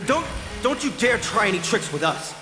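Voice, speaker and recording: An actor playing a timid, nervous guard underling in a video game, stammering and hesitant. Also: male voice, sits high, big pitch swings, moderate pace, over music. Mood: frightened.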